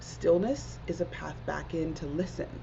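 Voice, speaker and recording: A woman's voice: deep voice, normal speed, creaky, mellow, slight background noise. A woman in her forties from Zimbabwe, a guest speaking earnestly and reflectively in a casual interview, often dropping in "like". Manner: earnest, reflective, many "like" fillers. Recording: dull lo-fi audio.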